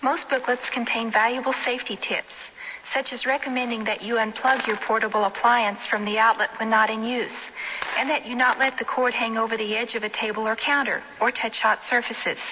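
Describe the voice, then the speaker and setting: Casting: female safety expert, fairly high bright voice, scripted radio advice, reverberant lo-fi sound. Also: fairly even intonation, a little fast. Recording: echoing, faintly noisy lo-fi radio recording.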